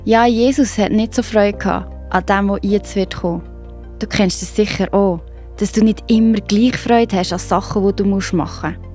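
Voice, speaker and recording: Woman narrator, Swiss German accent, medium pitch, expressive children's Bible storytelling, light music behind. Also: moderate pace.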